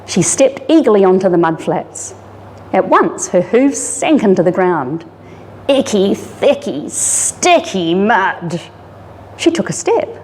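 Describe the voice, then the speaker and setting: A woman reads a children's picture book aloud in a dramatic, animated storytelling manner. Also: mid-range voice, slow pace, a little hiss or hum. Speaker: story reader, disaster resilience officer. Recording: reverberant hall-like room.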